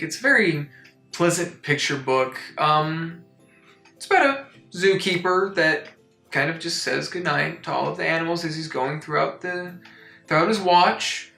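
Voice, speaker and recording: A man hosting a comic storytime in a mock-serious, deadpan way, rambling into incredulous asides. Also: fairly high pitch, roomy sound. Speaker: host of a comic storytime show. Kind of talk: deadpan comedic picture book review.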